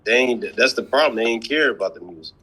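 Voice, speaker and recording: A man's voice: mid-range voice, expressive, fast, sharp tone, slightly rough, rough recording. A man co-hosting a reaction video, chatting casually in a blunt, colloquial way.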